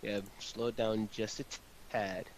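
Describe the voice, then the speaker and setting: A man's voice: on the low side, little pitch movement, measured pace, slight background noise, rough recording. A man chatting casually as he directs and edits voice recordings, speaking informally and often saying "like". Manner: informal, swearing, often says "like". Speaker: voice-over director and audio editor. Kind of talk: casual collaborative work chat.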